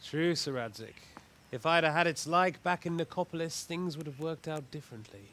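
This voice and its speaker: Courteous knight, formal delivery, faint noise. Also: male voice, on the high side, animated, normal speed, a bit bright. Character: proud male knight, courteous, worldly.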